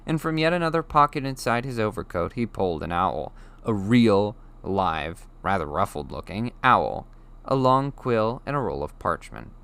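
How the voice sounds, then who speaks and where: A man narrates a storybook expressively. His voice is fairly low and forceful, moving widely in pitch through the scripted prose. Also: big pitch swings, moderate pace, creaky, clear, resonant tone. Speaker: audiobook reader.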